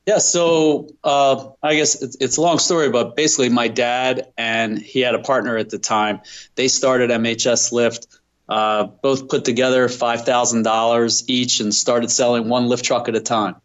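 A man's voice: medium pitch, steady tone, moderate pace. Middle-aged male guest, mid-range voice, conversational business interview, slightly muffled lo-fi sound. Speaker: interview guest, company president.

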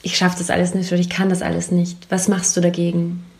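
A woman's voice: on the low side, monotone, fast talker. A woman conducting a casual, conversational interview in an encouraging, affirming way.

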